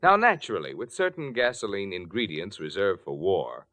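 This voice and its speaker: A man's voice: mid-range voice, a bit bright. A man with an American accent announcing commercials and credits in 1940s radio style. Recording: 1940s radio broadcast with faint music.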